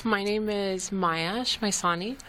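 A woman's voice: medium pitch, slow, sharp tone, slight background noise. A young woman artist answering interview questions in a hesitant way, with many ums. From Canada. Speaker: artist guest.

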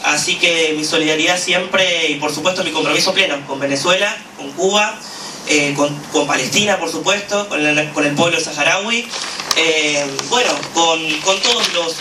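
A man with an Argentine accent giving an impassioned political speech at a gathering, full of "eh" pauses. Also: on the high side. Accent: Argentine Spanish. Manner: fervent, with frequent "eh" fillers. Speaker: activist speaker at a political gathering. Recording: echoey room, from a distance, noisy.

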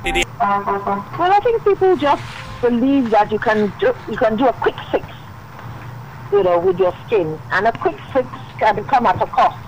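A woman speaks by phone as a dermatologist guest, her voice pitched high. She explains things calmly and steadily, dropping in plenty of "you know"s, with faint noise behind her. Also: unhurried, low-quality recording. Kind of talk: explaining on a radio interview.

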